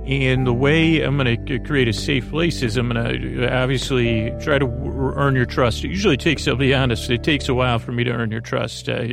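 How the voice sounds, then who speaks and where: A man hosting a bedtime podcast in a creaky, croaky voice, meandering and stammering in a soothing, lulling monologue. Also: medium pitch. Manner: meandering, halting, stammering, self-interrupting. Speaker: podcast host.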